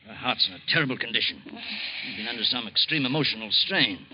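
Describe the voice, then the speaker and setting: A man's voice: medium pitch, lively intonation, fairly quick, crowd noise, natural voice. An actor playing a jovial family doctor who chides his patient in old radio acting. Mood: chiding. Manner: chiding, jovial. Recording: dull old radio recording.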